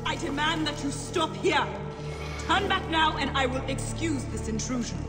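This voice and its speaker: A woman's voice: sits high, expressive, normal speed. An actress playing a fierce, grieving sorceress mother who guards a tomb, in an imperious, theatrical video game performance. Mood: haughty, menacing. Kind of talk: dramatic video game acting. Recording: echoey reverberant hall with music behind.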